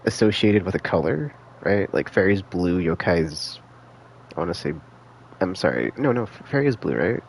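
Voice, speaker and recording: A man's voice: low voice, lively intonation, normal speed, vocal fry, a little hiss or hum. A man giving casual live game stream commentary, thinking aloud in a rambling, hesitant, slangy way.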